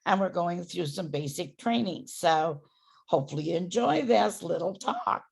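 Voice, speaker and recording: A hoarse woman dog trainer giving loud, emphatic instructions in a casual chat over a video call. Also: fairly low pitch, animated, normal speed. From San Francisco Bay Area.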